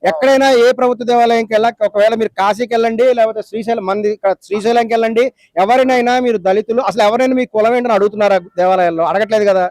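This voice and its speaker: A man's voice: high-pitched, fairly even intonation, racing, darkish tone, no echo. A man arguing insistently in a heated phone-in debate, repeating his points over and over for emphasis. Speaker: debate guest. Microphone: phone call.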